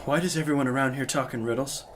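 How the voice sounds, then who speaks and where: A man's voice: mid-range voice, fairly even intonation, quick pace, natural voice. An actor playing an impulsive young knight in a theatrical drama, earnest and questioning, a man's voice. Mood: puzzled, defensive. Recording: music under the voice.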